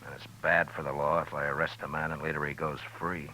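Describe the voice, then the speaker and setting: An American actor playing a terse, skeptical, world-weary frontier marshal in a 1950s radio drama, with a dry, probing manner. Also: male voice, very deep voice, little pitch movement, quick pace, sharp tone. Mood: measured, wary. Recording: noisy vintage 1950s radio recording.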